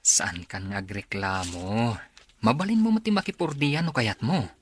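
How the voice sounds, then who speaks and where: A man's voice: fairly low pitch, animated, moderate pace, breathy edge, no echo. A man acting a practical husband who works in the city, in an Ilocano radio drama. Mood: firm.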